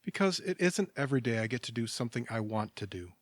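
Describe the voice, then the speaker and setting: A man acting in audio theatre as a kindly, patient stranger, speaking in a gentle, reassuring manner. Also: mid-range voice, sing-song, fast, no echo, studio quality, close to an everyday voice. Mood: wistful, tender. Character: kindly, patient male stranger waiting for a train.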